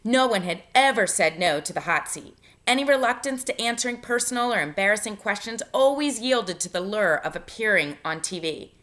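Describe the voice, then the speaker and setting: A woman teacher reading a novel aloud to students in an expressive, instructive way, pausing to give guidance. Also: medium pitch. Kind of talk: read-aloud lesson, narration with spoken instructions.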